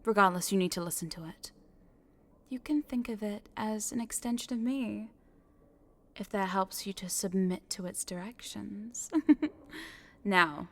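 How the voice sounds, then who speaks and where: A woman plays a scheming female corporate boss in an intimate audio roleplay, speaking straight to the listener at a medium pitch. She is smug and controlling, with a businesslike tone that turns teasing and condescending as she coaxes. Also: unhurried, long pauses. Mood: persuasive, controlling. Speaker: voice actor.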